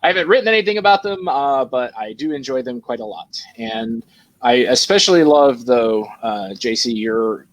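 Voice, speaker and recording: A man's voice: mid-range voice, lively intonation, normal speed. A man casually hosting a panel discussion in a conversational, informal way. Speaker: panel host.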